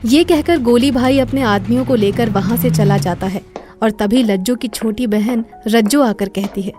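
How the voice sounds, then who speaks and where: A woman's voice: on the high side, moderate pace, warm tone, faint music behind. A woman narrator reading a scripted moral story.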